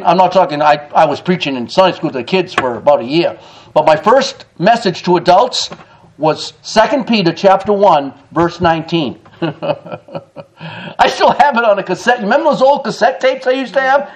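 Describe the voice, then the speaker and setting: A middle-aged man preaching a sermon in a church, emphatic, with quick chuckling asides. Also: sits high. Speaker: pastor. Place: church sanctuary.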